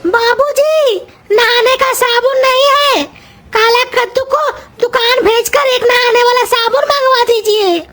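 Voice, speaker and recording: Polite young cartoon daughter-in-law, acted by a woman: extremely high voice over music. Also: steady tone, measured pace, a bit bright. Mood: polite request. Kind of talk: cartoon comedy dialogue.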